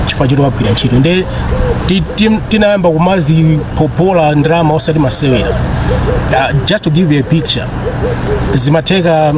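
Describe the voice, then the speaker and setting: A man with a Malawian accent, speaking conversationally as he reminisces in an interview. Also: fairly high pitch. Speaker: former broadcaster and voice-over talent being interviewed. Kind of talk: conversational reminiscing, mixing Chichewa and English.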